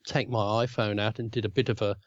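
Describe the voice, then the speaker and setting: A man co-hosting a casual podcast, throaty from a cold, talking in halting phrases he often restarts. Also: lowish voice, flat delivery, no room sound, lo-fi sound. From Australia. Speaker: podcast co-host. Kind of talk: casual chat.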